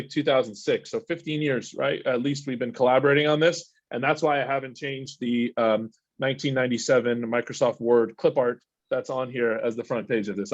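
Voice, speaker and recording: A middle-aged man rattles through a webinar talk at speed, leaning on "uh" and "right?" as he goes. His voice sits mid-pitch and is recorded dry, with a slightly dull, muffled edge. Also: dry recording. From Egypt. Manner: rapid, energetic, peppered with "uh" and "right?". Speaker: water polo executive director giving a recruiting webinar. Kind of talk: fast, informal presentation with frequent fillers.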